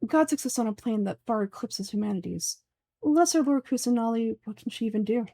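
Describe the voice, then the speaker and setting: A woman's voice: fairly high pitch, animated, a little fast, mellow, dry recording, clean studio sound. A woman voicing a pompous, fanatical older male sage from a game, speaking formally and in declamatory fashion. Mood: disdainful. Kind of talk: game dialogue dub.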